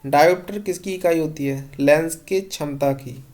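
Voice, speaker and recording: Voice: mid-range voice, normal speed, darkish tone. A teacher reads out revision questions and gives each short answer in an instructional way. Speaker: exam-prep science teacher. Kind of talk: question-and-answer revision lesson read from notes.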